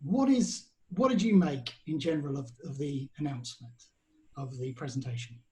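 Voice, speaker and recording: A man's voice: fairly high pitch, normal speed, darkish tone. A man hosting a podcast, asking questions in a rambling way and stumbling over his phrasing. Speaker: podcast host.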